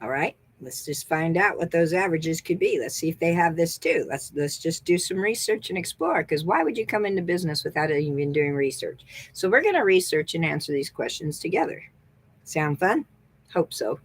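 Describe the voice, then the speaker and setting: A woman's voice: deep voice, expressive, normal speed, breathy edge, dry recording. A middle-aged woman from the American Southwest with a Texan accent, chatting upbeat as a beauty consultant and thinking aloud while she looks things up. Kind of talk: casual sales vlog.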